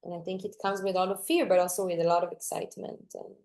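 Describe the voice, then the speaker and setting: A woman's voice: fairly low pitch, flat delivery, quick pace, a little mellow. A young woman from Greece, a dancer, talking reflectively in an interview, often restarting and searching for words. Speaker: guest dancer.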